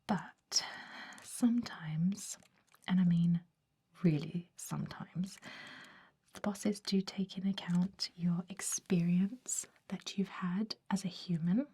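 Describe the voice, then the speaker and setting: A woman's voice: on the low side, steady tone, slow pace, warm tone, husky, exaggerated voice. A woman playing a centuries-old, fussy vampire recruiter in an ASMR roleplay, whispering with a hesitant, politely dismissive manner. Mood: discouraging, matter-of-fact.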